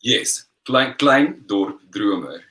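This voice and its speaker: A young man, a singer-songwriter guest, chatting in an interview over a video call in a relaxed, rambling way full of fillers. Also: on the low side, slow, a little hiss or hum. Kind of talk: casual interview chat.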